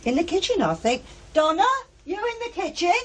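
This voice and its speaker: A woman's voice: very high voice, sing-song, a little fast. An actress playing a harried, chatty working-class English mother in a naturalistic radio drama, with a blunt, colloquial, nagging way of talking. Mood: matter-of-fact.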